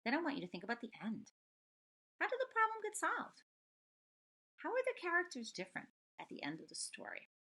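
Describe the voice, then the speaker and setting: Animated, bright-voiced woman teacher. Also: very high-pitched, brisk, pauses often. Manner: expressive, addressing children with questions. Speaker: elementary school teacher. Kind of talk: children's read-aloud and lesson.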